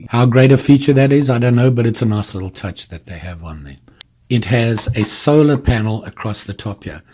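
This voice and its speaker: A man's voice: mid-range voice, expressive, fairly quick, dark tone. A man giving a casual hands-on product demonstration, informally explaining step by step as he handles the device. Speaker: product reviewer.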